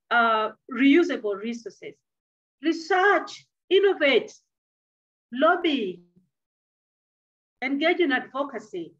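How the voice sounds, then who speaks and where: A middle-aged woman giving an emphatic, deliberate motivational address over a video call from a small office. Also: sits high, fairly bright tone. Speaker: speaker addressing youth at a conservation dialogue. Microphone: computer mic on a video call. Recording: dull, lo-fi video call audio.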